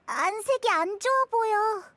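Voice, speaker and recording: A woman playing a tiny childlike fairy companion in a game, outspoken, excitable and expressive. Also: very high-pitched, measured pace, no room sound, heavily acted. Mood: shocked, worried. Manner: expressive, exclamatory, questioning. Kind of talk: scripted game character dialogue.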